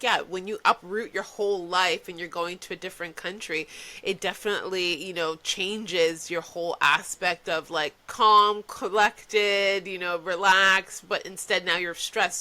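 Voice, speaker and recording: Woman, podcast host, bright tone, upbeat, affirming, chatty. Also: fairly low pitch. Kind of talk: casual interview.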